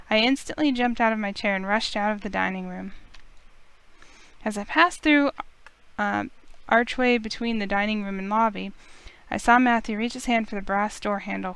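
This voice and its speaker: A woman with a smooth, clear voice, reading a visual novel aloud as expressive first-person story narration. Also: mid-range voice.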